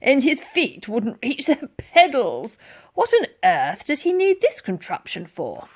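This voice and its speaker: Give the storybook an old sergeant major acted out by a woman, pompous and suspicious. He barks and huffs, stretching his words slowly and swooping in pitch with indignation. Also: wildly swooping pitch, husky, full character voice. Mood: mocking. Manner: barking, huffy, pompous, slow and emphatic. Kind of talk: children's storybook character voice.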